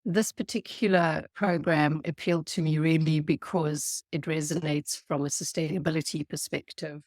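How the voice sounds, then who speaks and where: A woman from South Africa with a South African accent, a guest on a podcast, explaining her work in an earnest, measured way. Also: sits low, steady tone, a little mellow, no room sound. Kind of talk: podcast interview.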